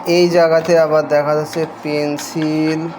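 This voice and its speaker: A man with a Bangladeshi accent gives casual, unscripted vlog narration, pausing hesitantly between short phrases. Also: on the high side, little pitch movement, crowd noise. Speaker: vlogger unboxing a product. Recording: noisy home video audio.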